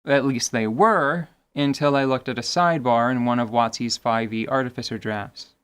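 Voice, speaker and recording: A man's voice: mid-range voice, steady tone, moderate pace, a bit bright, clean tone. A man hosting a podcast, giving semi-scripted tabletop gaming commentary in an articulate, wordy way with wry, playful asides. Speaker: podcast host.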